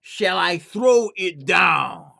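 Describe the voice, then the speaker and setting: Man voicing a slow, thundering giant spirit. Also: high-pitched, lively intonation, vocal fry, sharp tone, pitched above the performer's own voice, exaggerated voice. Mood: booming, commanding. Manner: slow, drawn-out, thundering commands. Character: booming commanding giant, a haunting spirit.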